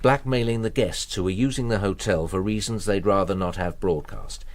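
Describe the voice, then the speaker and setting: A British actor playing a shrewd private investigator in a radio drama, measured, hedging and matter-of-fact. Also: male voice, on the low side, lightly acted. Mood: businesslike. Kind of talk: naturalistic radio drama acting.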